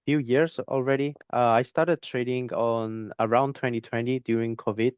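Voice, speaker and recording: Young male guest, clear resonant tone, dry close sound, halting delivery with fillers. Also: mid-range voice, dry recording. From Spain. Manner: hesitant, repeats words, many fillers. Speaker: guest trader. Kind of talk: interview conversation.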